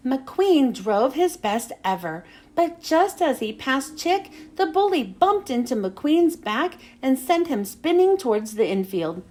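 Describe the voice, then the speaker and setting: A woman reading a children's storybook aloud in an expressive way, with dramatic storytelling emphasis. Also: high voice, slow, hardly any pauses. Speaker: children's book reader.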